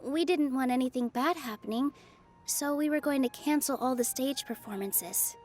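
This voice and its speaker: A woman voicing a cheerful, earnest young female dancer in a video game, expressive and eager. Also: high-pitched, normal speed, soft background music, natural voice. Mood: earnest, concerned. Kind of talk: video game character acting.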